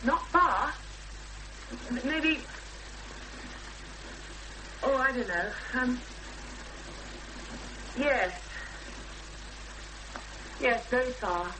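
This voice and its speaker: A woman's voice: sits high, expressive, extremely slow, pauses often, exaggerated voice. An actress playing an earnest, uneasy young English woman in a 1970s British radio drama, speaking with hesitant intensity. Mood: troubled, wistful. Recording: noisy, humming 1970s radio drama recording.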